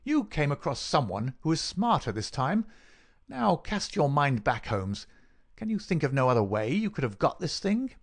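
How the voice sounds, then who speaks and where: A man voicing a cruel, gloating murderer in an audiobook, sneering and mock-solicitous in a dry rasp. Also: fairly high pitch, very animated, moderate pace, voice pushed up. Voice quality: dry, rasping. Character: gloating, cruel murderer taunting his dying victim. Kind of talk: dramatic audiobook character reading.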